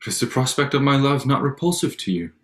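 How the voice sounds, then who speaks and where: A man playing a proud, reserved Regency suitor in a staged reading, formal, restrained and a little halting. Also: medium pitch, very animated, close to an everyday voice. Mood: earnest. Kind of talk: staged reading of a period drama.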